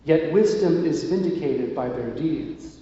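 A man reading scripture aloud in a church service, in a measured liturgical way. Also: on the high side, expressive, mellow. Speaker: music director reading scripture. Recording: echoey church hall, muffled.

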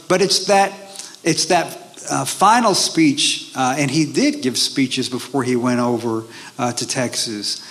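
A man who performs a one-man history show, speaking in an interview with halting phrasing and frequent fillers. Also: on the high side, fairly bright tone. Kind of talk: casual interview talk.

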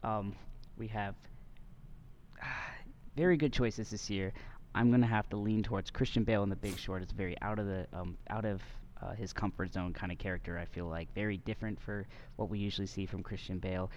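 A man's voice: on the low side, fairly even intonation, a little fast, darkish tone, a little hiss or hum. A man co-hosting a casual movie podcast, laid-back and joking, with a lot of fillers. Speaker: podcast co-host.

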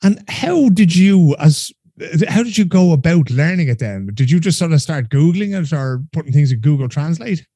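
A male podcast host asks a guest friendly, easygoing questions in a mellow, warm voice. The sound is dry and close, with no room echo. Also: on the high side, lively intonation, normal speed, slightly rough. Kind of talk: interviewing a guest.

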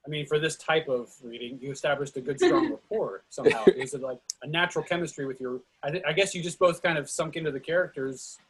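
A man hosting a discussion on a video call, excitable and lively, often restarting his sentences. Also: on the high side, fast, hardly any pauses, low-quality recording. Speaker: host of a play reading discussion. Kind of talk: casual group discussion.

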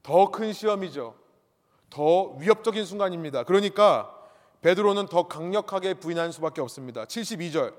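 A male pastor with a notably high voice delivers a sermon, leaning hard on key points and repeating them. He poses rhetorical questions to the congregation. His voice rings out with the long reverb of a church hall. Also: lively intonation, brisk.

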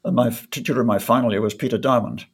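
An older man from North Wales, answering interview questions in a measured way with frequent ums and restarts. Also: medium pitch, fairly even intonation, husky. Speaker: guest economist. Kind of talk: conversational interview.

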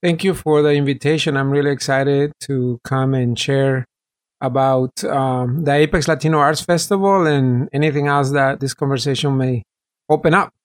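A man's voice: mid-range voice, fairly even intonation, normal speed. A middle-aged man from El Salvador, a pastor, explaining his work in detail and earnestly as a guest on an interview podcast.

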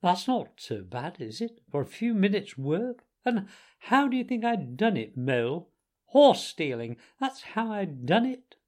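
A man voicing a boastful, excitable storybook toad with a theatrical, bragging manner. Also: high voice, a little slow, voice pushed up. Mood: showing off. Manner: bragging, mock-modest, dramatic.

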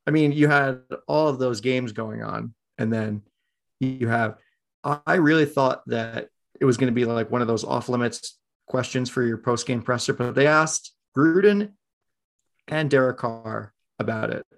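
A man's voice: mid-range voice, normal speed, creaky. A man hosting a casual podcast, talking in a laid-back conversational way. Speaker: podcast host.

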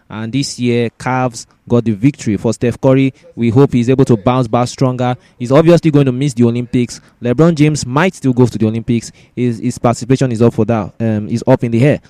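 A man's voice: mid-range voice, fairly even intonation, brisk, dark tone, no room sound. A man hosting a casual sports discussion podcast, often repeating words and phrases for emphasis.

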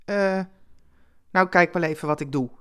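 A woman's voice: fairly low pitch, normal speed, fairly bright tone, clean tone, natural voice. Uncomfortable wealthy businessman, read by a female storyteller, curt, hesitant and formally polite. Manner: hesitant, clipped, politely stiff. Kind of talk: storytelling character voice.

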